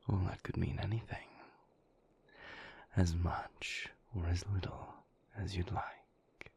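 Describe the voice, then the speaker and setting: Guy doing a broody vampire boyfriend for audio rp, super slow, creaky low murmur, kinda formal n dismissive, breathy. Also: a little mellow, full character voice. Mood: suave, teasing. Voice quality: breathy, creaky near-whisper. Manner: languid, formal, measured phrasing. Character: moody, suave male vampire boyfriend, guarded and dismissive. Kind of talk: intimate audio roleplay, close whispered acting.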